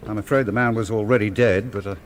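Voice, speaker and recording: An actor playing a stern, exasperated doctor in a radio drama, formal in wording, hesitating between firm orders. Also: male voice, on the low side, steady tone, quick pace, a little hiss or hum, natural voice. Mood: defensive. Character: stern, exasperated male doctor, educated and self-protective. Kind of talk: naturalistic radio drama acting.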